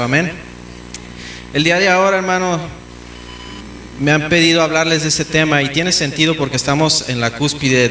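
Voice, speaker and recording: A man from Mexico, preaching a teaching sermon in a church, often pausing with fillers and restarts. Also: on the high side, pushed and bright. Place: church hall. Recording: echoey church room with faint noise.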